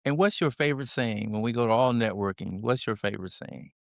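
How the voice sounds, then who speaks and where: A man's voice: medium pitch, a little fast, gravelly, dry recording, studio quality. A man hosting an interview podcast, upbeat and encouraging, laughing along with his guest. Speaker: podcast host.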